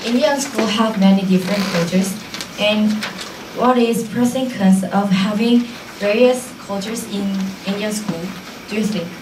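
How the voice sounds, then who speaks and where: Slow female questioner in a noisy, echoey room. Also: medium pitch, distant mic. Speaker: audience questioner. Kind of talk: prepared question in simple English.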